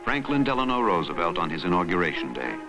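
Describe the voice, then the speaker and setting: A man narrating a historical documentary in a solemn, dramatic, measured newsreel style. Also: sits low, sing-song. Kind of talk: scripted historical documentary narration, mid-century newsreel manner. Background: music.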